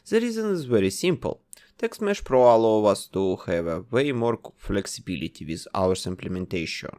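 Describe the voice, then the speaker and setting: Male tutorial narrator, methodical and instructive, with a lively, varied pitch. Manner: methodical, instructive, explains each step. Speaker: tutorial host. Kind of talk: step-by-step software tutorial narration.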